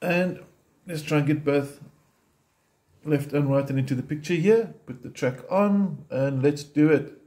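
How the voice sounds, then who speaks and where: A man's voice: a little high, unhurried, warm tone, slight background noise. A man teaching ukulele chords step by step in a patient, methodical way, recorded in a home music room with the camera close on his instrument. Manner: patient, methodical, explains while playing.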